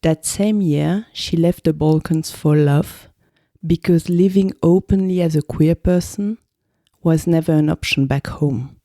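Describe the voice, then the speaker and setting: Creaky, low-pitched woman hosting an interview. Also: a little slow, dark tone, dry recording, studio quality. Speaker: podcast host and interviewer. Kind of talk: scripted introduction and interview questions.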